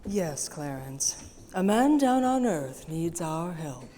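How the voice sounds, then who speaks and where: A woman's voice: deep voice, very animated, slow pace, mellow, a little hiss or hum, heavily acted. A woman playing a solemn head angel. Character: calm female superintendent of angels. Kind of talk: 1940s radio acting. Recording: echoey theater hall.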